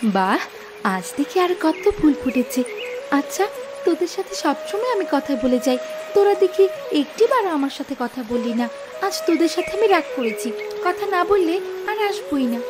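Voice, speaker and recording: A woman's voice: high voice, big pitch swings, moderate pace. A woman playing a kind, stubborn young village girl in a cartoon fable, earnest and insistent. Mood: playfully cross. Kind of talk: Bengali cartoon fable voice acting. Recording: loud music under the voice. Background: music.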